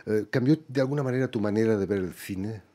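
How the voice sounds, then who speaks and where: Spanish radio interviewer guy, low husky voice, calm, asks lots of "¿no?" questions. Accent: Spain Spanish. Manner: measured questioning with tag questions.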